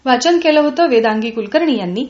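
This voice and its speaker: A woman reads a scripted regional radio news bulletin in a formal, measured way. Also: high voice, expressive, a little mellow. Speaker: radio newsreader. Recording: dull band-limited radio audio, roomy echo.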